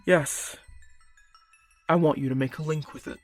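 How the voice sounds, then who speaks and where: A man playing a calm, persuasive magical creature specialist in an audio roleplay, speaking directly to the listener in a measured, coaxing and instructive way, with music behind him. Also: on the high side, natural voice. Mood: coaxing, encouraging. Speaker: voice actor.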